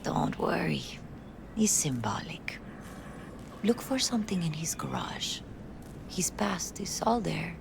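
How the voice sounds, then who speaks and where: A middle-aged Mexican mother in a cinematic game scene, gravelly and composed in her grief. She speaks with gentle, maternal dignity and a Mexican accent, over clearly audible background noise. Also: fairly low pitch, sing-song, takes it slowly, long pauses, exaggerated voice. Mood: tender, subdued. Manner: gentle, maternal, slips into Spanish endearments. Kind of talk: cinematic video game acting.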